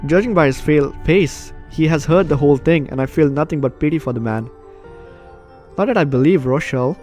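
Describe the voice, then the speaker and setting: A man's voice: on the high side, fairly quick, a little mellow. Male gamer reading over music. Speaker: gaming video host. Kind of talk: casual let's play commentary, reading game text aloud. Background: game music.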